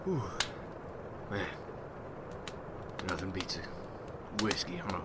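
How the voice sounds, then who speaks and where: A man playing a gruff, hardened vampire hunter in an ASMR roleplay, speaking in folksy rustic slang as he mentors a newcomer. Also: deep voice, slow pace, very noisy. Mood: grim, matter-of-fact.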